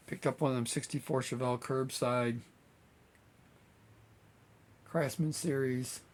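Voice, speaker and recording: A relaxed man chatting to his viewers about his model builds. His voice is gravelly and a little hoarse, and he rambles with plenty of fillers. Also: medium pitch, slow, long pauses. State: slightly hoarse, losing voice. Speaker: scale model builder and channel host. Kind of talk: casual chat to viewers.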